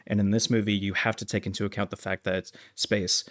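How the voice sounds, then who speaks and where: Low-pitched man in a dry, close recording. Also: flat delivery, quick pace, a bit bright, dry recording. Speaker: film review podcast host. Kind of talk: casual movie discussion.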